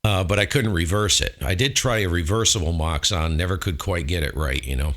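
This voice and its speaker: Deep-voiced man chatting on ham radio. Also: a little fast, fairly bright tone. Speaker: ham radio operator, channel host. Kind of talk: casual technical radio chat.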